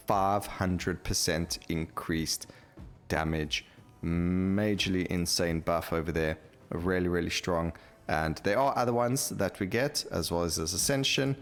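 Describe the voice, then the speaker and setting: A man's voice: deep voice, fairly bright tone. A man giving casual, enthusiastic gameplay commentary as the host of a gaming video, explaining things informally.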